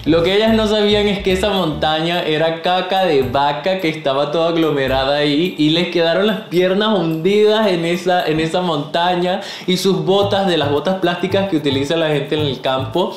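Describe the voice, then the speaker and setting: A man's voice: high-pitched, moderate pace. A young man from Venezuela with a Venezuelan accent, chatting upbeat and talkative to camera as a vlogger, laughing often. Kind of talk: casual chat to camera. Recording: roomy echo, light background music.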